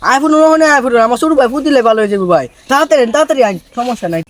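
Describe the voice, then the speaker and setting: A man's voice: very high voice, lively intonation, racing. A man acting a pushy, scheming elder brother who hustles for a fake faith healer with urgent, repetitive sales patter, in an amateur comedy skit. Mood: urgent, frantic.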